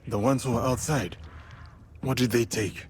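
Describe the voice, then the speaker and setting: A man playing a stern, wary samurai in a video game, speaking in a measured, serious manner. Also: mid-range voice, steady tone, close to an everyday voice. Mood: guarded. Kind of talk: dramatic game voice acting. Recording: loud music behind.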